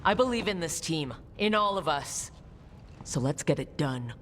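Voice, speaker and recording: Resolute squad leader in reverberant hall. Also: female voice, low voice, animated, a little hiss or hum. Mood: resolute, commanding. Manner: firm, measured, commanding. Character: resolute squad leader giving battle orders. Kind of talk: video game cutscene acting. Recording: long reverb, music behind.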